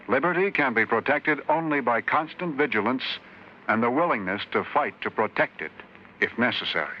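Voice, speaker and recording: Solemn old-radio male announcer. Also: mid-range voice. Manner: measured, solemn. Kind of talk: earnest public service announcement. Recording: dull old radio broadcast, faint hiss.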